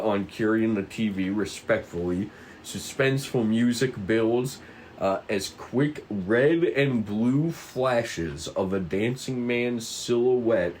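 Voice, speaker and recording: A man narrating stage directions at a table read, haltingly and stumbling over the script now and then. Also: lowish voice, a little mellow, a little hiss or hum, poor audio.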